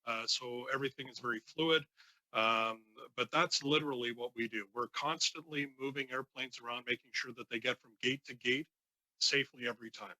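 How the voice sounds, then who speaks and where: A man giving formal testimony as a union leader, answering haltingly with many false starts. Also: medium pitch, fairly even intonation, bright, forceful tone, no echo, low-quality recording. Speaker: union president, committee witness.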